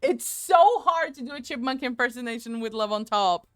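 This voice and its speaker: A woman's voice: fairly high pitch, expressive, moderate pace. A woman streaming a game from home into a desk microphone, chatting with viewers in a rambling, talkative way. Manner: chatty, rambling, answers chat aloud. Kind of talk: casual gaming stream chat.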